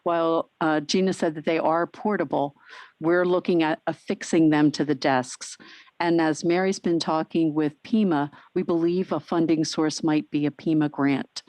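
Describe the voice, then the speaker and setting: A district administrator answers a funding question plainly and matter-of-factly. The voice sits at a middle pitch, and the sound is close and dry. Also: fairly even intonation, moderate pace, darkish tone, no room sound. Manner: clear, matter-of-fact. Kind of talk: formal meeting answer.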